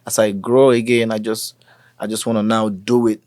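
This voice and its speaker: Laid-back Nigerian man, lowish voice. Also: moderate pace, no echo, studio quality. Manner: relaxed, drops into Pidgin, many "you know"s. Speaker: musician guest. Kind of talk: casual interview chat.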